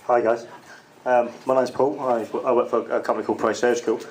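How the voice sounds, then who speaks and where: A man with a voice of ordinary pitch gives a spoken sales pitch at a networking evening. It is loose and unscripted, full of "actually" and "uh". He often repeats words as he goes. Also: fairly even intonation, a little fast, darkish tone, a little hiss or hum, rough recording. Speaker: sales representative pitching a product. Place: event room with an audience.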